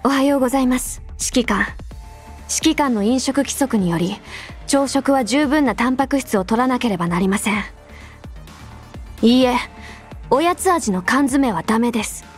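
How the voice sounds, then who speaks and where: A woman voicing an earnest, rule-bound young female adjutant in an anime game, speaking formally and politely with quiet care. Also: on the high side, expressive, moderate pace, lightly acted. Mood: polite, gently scolding. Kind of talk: Japanese anime game voice lines, intimate delivery. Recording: background music under the voice. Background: music.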